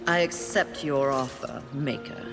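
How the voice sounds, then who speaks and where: A woman's voice: rumbling low, measured pace, creaky, music in the background, heavily acted. An actress playing a haughty, battle-hungry female horseman of the Apocalypse in a video game, speaking with imperious, sardonic disdain. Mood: haughty, dismissive. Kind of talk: theatrical video game voice acting.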